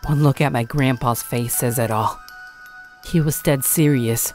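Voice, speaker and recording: A woman's voice: very deep voice, fairly even intonation, measured pace, a little mellow, faint music behind. A woman playing an anxious young grandchild who earnestly and dramatically narrates a horror tale. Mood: uneasy narration. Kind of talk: first-person scripted horror narration with acted dialogue.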